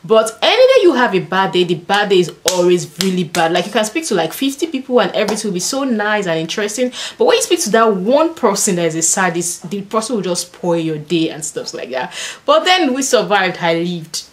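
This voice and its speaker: A young woman with a Nigerian accent, talking casually to camera in a small bedroom, chatty and animated, with lots of "like" and "and stuff.". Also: mid-range voice, a little fast, slight background noise. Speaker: vlogger.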